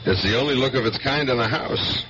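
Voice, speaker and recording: An actor playing a wry, probing police detective in a 1970s radio drama, conversational in manner. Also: male voice, medium pitch, fairly quick, a crowd in the background, natural voice. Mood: curious, teasing. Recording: muffled 1970s radio broadcast, faint hum.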